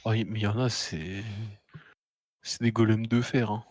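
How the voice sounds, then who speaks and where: Lowish-voiced young man, casual gamer. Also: fairly even intonation, normal speed, darkish tone, no echo, clean studio sound. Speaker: gamer playing with friends. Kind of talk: casual chat during gameplay.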